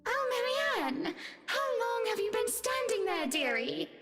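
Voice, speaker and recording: A woman's voice: extremely high pitch, very animated, unhurried, creaky, fairly bright tone, faint music behind, pitched above the performer's own voice, full character voice. A woman voicing flirtatious ghostly ladies who tease and coax. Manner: coy, taunting. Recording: echoey, from far off.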